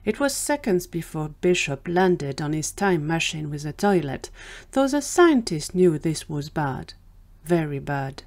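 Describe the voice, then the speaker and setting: A woman's voice: sits low, big pitch swings, unhurried, darkish tone. A woman narrating a scripted audio drama as its storyteller.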